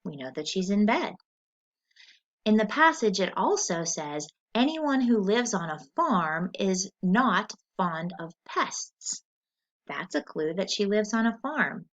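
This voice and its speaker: A woman teacher reading a story aloud in an expressive, deliberate, instructional way, as for a lesson with questions. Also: mid-range voice. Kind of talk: scripted story read-aloud with comprehension questions. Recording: dull lo-fi recording.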